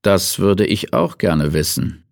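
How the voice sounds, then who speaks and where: A man's voice: sits low, takes it slowly, gravelly, no echo, clean studio sound, full character voice. A man voicing a brusque male police detective who speaks in curt commands. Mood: terse.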